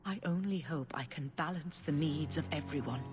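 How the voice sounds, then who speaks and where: An actress playing an elderly, gentle head cleric in a game, speaking in a measured, solemn and formal way. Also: female voice, sits low, natural voice. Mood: compassionate, grave. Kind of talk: dramatic game dialogue. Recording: long church-like reverb, music behind.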